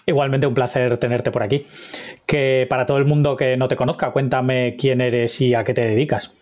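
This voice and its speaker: A man's voice: medium pitch, little pitch movement, normal speed, darkish tone. Spanish-speaking male podcast host. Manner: friendly, prompting with short questions. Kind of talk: casual interview. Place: home room with shelves. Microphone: video call, webcam.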